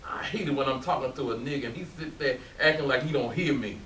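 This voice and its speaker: A man's voice: medium pitch, quick pace, fairly bright tone, lightly acted. Salty older man played by an actor, echoey. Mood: needling, indignant. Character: weary older working man, salty, grumbling, hard-drinking friend. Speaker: actor in a readers theatre play. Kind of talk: staged play reading. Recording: hissy, echoey stage pickup from far off.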